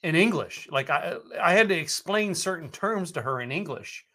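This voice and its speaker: Bright-voiced rambling American man. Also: on the high side, animated, moderate pace. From United States. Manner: rambling, many fillers and restarts. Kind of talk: casual conversation.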